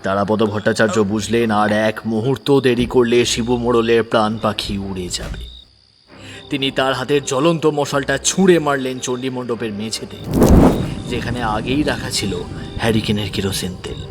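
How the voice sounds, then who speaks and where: A man's voice: mid-range voice, brisk, over music. Man narrating a ghost story. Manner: suspenseful, hushed at times. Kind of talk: dramatic horror narration.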